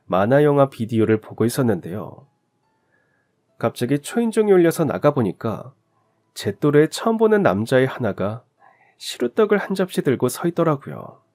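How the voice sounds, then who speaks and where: A man with a mid-pitched voice narrating a heartfelt story in the first person. His telling is gentle and reflective, paced like a scripted reading.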